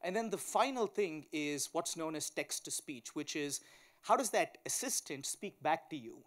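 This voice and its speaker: A man with an Indian accent speaks in a fairly high voice as a guest on a conference stage. He explains technology methodically, using examples and tagging his points with short check-in questions. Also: expressive, normal speed, a bit bright. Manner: explains step by step with examples, often tags "right?". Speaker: tech company chief executive, conference guest speaker. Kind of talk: explanatory conference interview talk.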